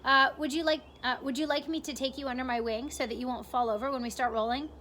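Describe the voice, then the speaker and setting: A woman reading aloud and voicing a kind, gentle female ladybug with a patient, reassuring manner. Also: sits high, fairly even intonation, quick pace, a little hiss or hum.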